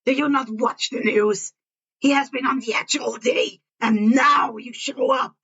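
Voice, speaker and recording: A woman's voice: high voice, steady tone, unhurried, a bit bright, breathy edge, heavily acted. Lady doing an angry sweary teen boy from a story, barking and scolding, kinda muffled recording. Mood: furious, exasperated. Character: angry, foul-mouthed blond teenage boy, barking.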